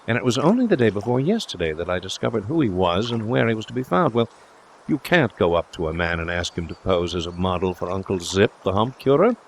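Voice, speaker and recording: A man voicing a breezy, confident young gentleman suitor in an audiobook, speaking in a jaunty, reassuring, chatty way. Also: on the low side, sing-song, a little fast, slight background noise. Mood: chatty, confiding. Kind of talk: audiobook character dialogue.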